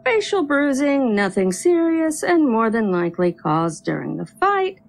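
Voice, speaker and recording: A woman's voice: high voice, big pitch swings, a little slow, gravelly, faint music behind, exaggerated voice. A woman playing a clinical, businesslike official in an audio drama. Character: clinical female official reporting on a prisoner.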